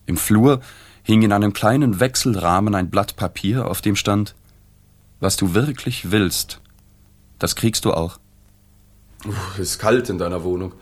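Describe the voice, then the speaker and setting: A man's voice: sits low, dry recording. An actor playing a melancholy journalist in a literary radio drama, telling his story in a sober, restrained way. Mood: intimate. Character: subdued, melancholy male journalist narrating his own story. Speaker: narrator.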